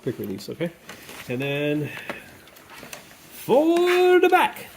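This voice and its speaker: A man's voice: fairly high pitch, wildly swooping pitch, slow, slight background noise. A man hosting a casual hands-on repair walkthrough in a workshop, chatty and thinking aloud as he goes, with some playful repetition of words. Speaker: DIY video host. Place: workshop or garage, cold day.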